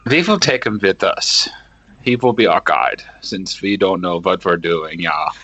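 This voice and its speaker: Male role-play gamer, bossy formal phrasing, highly animated swings in pitch. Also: a little slow, highs cut off. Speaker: tabletop game player. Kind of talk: in-character casual role-play.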